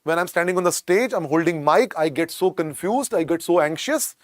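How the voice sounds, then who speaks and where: A man's voice: a little high, lively intonation, brisk, no room sound, clean studio sound. Indian man lecturing, repeating every phrase. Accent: Indian English. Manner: repetitive, drills phrases, calls students "beta". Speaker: medical exam lecturer. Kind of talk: classroom lecture. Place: lecture room.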